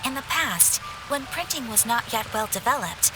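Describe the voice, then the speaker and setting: A gracious young lady of noble birth played by a woman in an anime-style game. Her voice is fairly high, precise and gently poised, set over prominent background music. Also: lively intonation, moderate pace, natural voice, poor audio. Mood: explaining.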